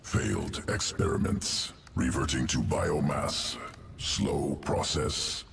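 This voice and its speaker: A man voicing a cold, detached alien monster scientist in a video game, speaking in clipped, emotionless phrases with a very deep, gravelly voice. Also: steady tone, slow pace, exaggerated voice. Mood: cold, clinical. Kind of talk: game cinematic voice acting. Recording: echoey, distant, faint background noise.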